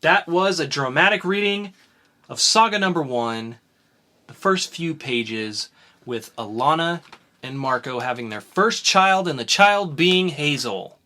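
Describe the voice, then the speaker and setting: Bright-voiced male podcast host. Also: a little high, expressive, measured pace. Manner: listing items in a chatty, upbeat way. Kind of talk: casual comics podcast chat.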